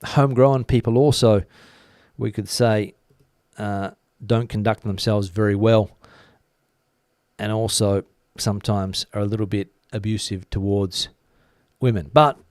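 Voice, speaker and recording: A man's voice: lowish voice, steady tone, measured pace, a little mellow, no echo, clean studio sound. A man hosting a live stream, talking through the news in a casual, conversational way and musing as he answers viewers. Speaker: host of a live commentary stream. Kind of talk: casual news commentary, reading out viewer comments.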